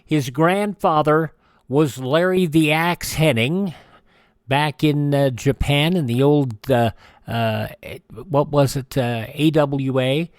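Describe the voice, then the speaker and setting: A male radio host with a slightly high voice, recorded close and dry. He talks casually, wandering through his script and correcting himself along the way. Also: slow, dry recording. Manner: rambling, self-correcting. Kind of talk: casual radio host chat reading celebrity birthdays and introducing old radio shows.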